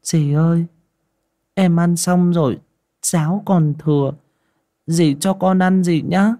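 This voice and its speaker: A man's voice: on the high side, steady tone, slow pace, mellow, pitched above the performer's own voice. A man voicing a timid, mistreated girl of seven or eight in a story, pleading and tearful. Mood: timid hope.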